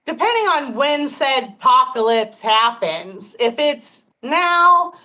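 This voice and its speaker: A woman's voice: high-pitched, very animated, takes it slowly, pushed and bright, low-quality recording. A woman in her fifties hosting a casual livestream chat, talking frankly and chattily with frequent laughter and some swearing. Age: 50s. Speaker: podcast host.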